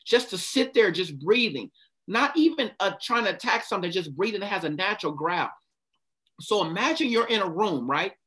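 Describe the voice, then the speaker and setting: A man's voice: sits high, expressive, normal speed, pushed and bright. A man giving a passionate motivational talk, stopping often to ask his listeners questions. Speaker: speaker leading a group talk.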